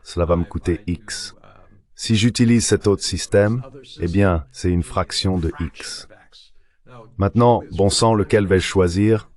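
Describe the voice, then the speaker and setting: A man's voice: low-pitched, a little mellow. A man reading a voice-over translation of an interview guest's political and economic commentary.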